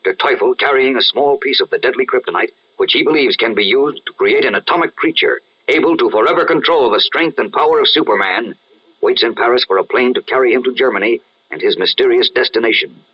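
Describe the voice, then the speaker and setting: A male narrator delivers dramatic scripted storytelling for an old radio adventure serial. His voice is medium in pitch and husky, heard through a muffled, vintage-sounding recording. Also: a little fast.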